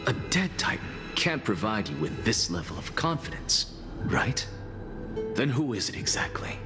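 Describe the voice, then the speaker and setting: A sardonic young scholar in a video game. He is low-pitched and animated, coolly defiant and cutting. Music plays clearly behind him. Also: moderate pace, long reverb tail. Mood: mocking. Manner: dry, cutting, theatrical. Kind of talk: dramatic video game voice acting.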